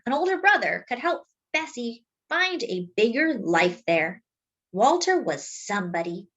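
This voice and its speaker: A woman reading a children's book aloud as a storyteller, with expressive narration, deliberate phrasing and drawn-out words for emphasis. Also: medium pitch.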